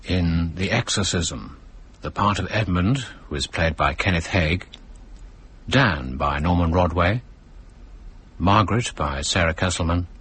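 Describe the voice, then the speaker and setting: Deep, very husky, slow male announcer. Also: fairly bright tone. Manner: formal. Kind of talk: scripted radio drama credits.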